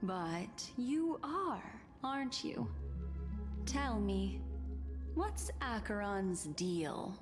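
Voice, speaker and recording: A mysterious woman of composed, inquisitive temper in a video game. She speaks slowly and formally in a long reverb, with music clearly behind her. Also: mid-range voice, lively intonation. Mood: cool, probing. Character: composed, probing female memory keeper, mysterious.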